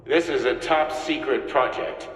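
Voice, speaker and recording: A man's voice: medium pitch, measured pace, a bit bright, soft background music, close to an everyday voice. A formal male official, voiced by a man in a video game, addressing a political council with ceremonious delivery. His voice sounds distant and carries a roomy, lingering echo.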